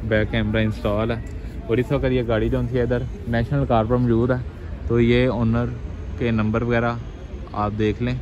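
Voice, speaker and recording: A man's voice: medium pitch, little pitch movement, moderate pace, crowd noise. A Pakistani man presenting used cars in walk-around commentary, with English words mixed into repetitive, salesman-like patter, outdoors at a car market. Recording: dull sound, clear outdoor traffic noise.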